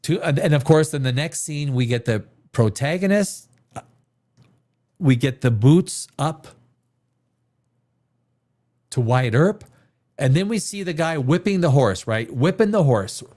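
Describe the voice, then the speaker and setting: A man's voice: fairly high pitch, animated, measured pace, pauses often, dark tone. A man, chatting casually as a livestream host in an opinionated, emphatic way, repeating phrases to drive points home. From Canada. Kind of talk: casual film chat, rambling commentary.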